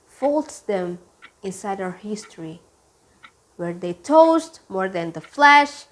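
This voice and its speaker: A young woman, a student performer, reciting a poem in a declamatory, deliberate way. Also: medium pitch. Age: young adult. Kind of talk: dramatic poetry recitation.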